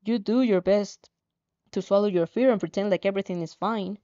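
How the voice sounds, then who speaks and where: A woman's voice: mid-range voice, a little fast, warm tone. A woman narrating fan fiction as a dramatic story reading, on slightly dull audio.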